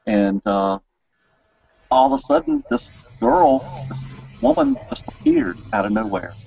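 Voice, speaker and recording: A man's voice: medium pitch, wildly swooping pitch, unhurried, creaky, slightly rough, no room sound, lo-fi sound, faint music behind. A man hosting a casual interview, talking informally and enthusiastically. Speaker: interview host. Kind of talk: casual chat.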